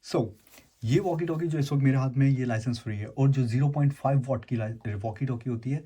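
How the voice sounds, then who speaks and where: Husky, mellow man chatting through an unboxing. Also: mid-range voice, brisk. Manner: informal, mixes English words into speech. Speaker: YouTube host unboxing and reviewing gadgets. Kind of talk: casual chatty unboxing explainer.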